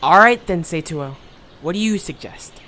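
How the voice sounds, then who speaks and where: A woman's voice: lowish voice, animated, moderate pace, a bit bright. A woman playing a cocky, dismissive little creature in an audio story. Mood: scoffing. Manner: scoffing, swaggering.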